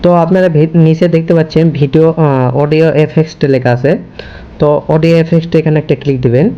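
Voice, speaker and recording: A man's voice: fairly high pitch, quick pace, dark tone, muffled recording. A man hosting a casual step-by-step screen tutorial, linking his sentences repetitively with the same connective word. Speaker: tutorial host.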